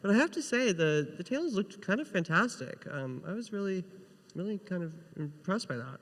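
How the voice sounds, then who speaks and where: A man with a fairly high-pitched voice shares casual anecdotes on a panel. He acts out little quotes as he goes, and his voice carries the long echo of a big hall. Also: very animated, fairly quick, a bit bright. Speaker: TV writer, panel guest. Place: large indoor convention panel room. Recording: echoey hall with long reverb.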